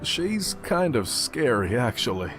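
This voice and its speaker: A man's voice: medium pitch, very animated, unhurried. A man playing a wary, slightly intimidated young onlooker in an anime-style game. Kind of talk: anime-style visual novel voice acting. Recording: music behind game dialogue.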